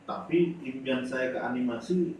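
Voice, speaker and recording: A man, a guest in a casual interview, telling lively stories and often finishing the host's phrases. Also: medium pitch, normal speed. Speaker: guest, animation studio founder. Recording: echoey room, distant mic, faint noise.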